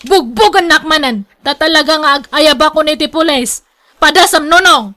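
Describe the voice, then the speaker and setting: An actress with an Ilocano accent playing a long-suffering, scolding young wife in a radio drama. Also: female voice, high-pitched, moderate pace, sharp tone.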